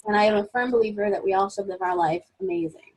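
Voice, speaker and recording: A woman's voice: medium pitch, quick pace, darkish tone, slight background noise, low-quality recording. A woman presenting a motivational webinar as a life coach, encouraging and upbeat, sounding a little froggy as she gets over a cold.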